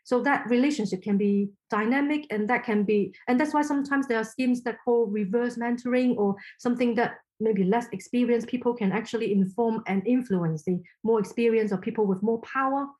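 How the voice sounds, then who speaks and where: Woman from Hong Kong, Chinese native speaker, podcast guest; fairly high voice, measured and searching, often restarts phrases. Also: darkish tone, low-quality recording. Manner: thoughtful, halting, many restarts. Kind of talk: interview answers.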